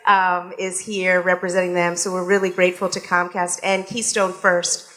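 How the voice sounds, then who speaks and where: A woman's voice: lowish voice, level tone, moderate pace, pushed and bright. A woman opening a live panel event as host, speaking casually to an audience in a room, with frequent fillers and restarts. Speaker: radio station executive and event host.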